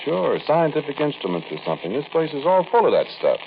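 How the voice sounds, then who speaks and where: A man's voice: fairly high pitch, big pitch swings, fast talker, vocal fry, slight background noise. An actor playing a plain-spoken man recounting an eerie tale in a conversational, musing way, in old-time radio drama style on a muffled recording. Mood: casual, dismissive. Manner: conversational, musing, speaks directly to the listener.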